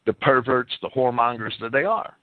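A man's voice: medium pitch, brisk, no echo. A man in an opinionated radio-style discussion, partly reading prepared commentary, who often stammers and repeats words as he starts a phrase. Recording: band-limited, lo-fi internet radio sound.

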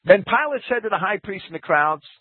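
A man's voice: brisk, dry recording. A man giving a Bible commentary in a lecturing way, reading scripture quotes aloud. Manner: lecturing, reads quotes aloud, lively pitch. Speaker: Bible teacher. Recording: tinny phone-like radio broadcast.

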